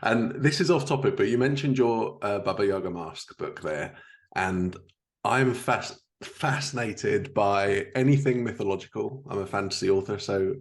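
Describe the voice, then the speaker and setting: A man's voice: on the low side, normal speed. A man hosting a podcast, a fantasy author asking questions in casual interview chat.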